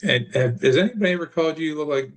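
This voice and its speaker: A man's voice: on the high side, lively intonation, rapid-fire. A man from the United States taking part in a group session, chatting casually. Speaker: session participant. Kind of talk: casual chat.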